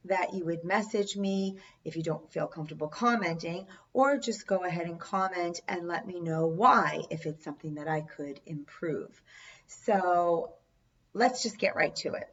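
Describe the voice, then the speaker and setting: Hoarse woman, middle-aged, low voice, slow unhurried telling, chatty storyteller, distant dull recording. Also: slight background noise. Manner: chatty, earnest storytelling with asides. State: hoarse, losing her voice. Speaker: channel host. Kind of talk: storytelling vlog.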